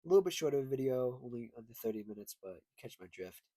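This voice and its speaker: A man's voice: mid-range voice, expressive, fast, warm tone, dry recording. Male video host, stuffed-up nose, casual rambling sports commentary, very dry sound. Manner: rambling, thinking aloud. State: congested.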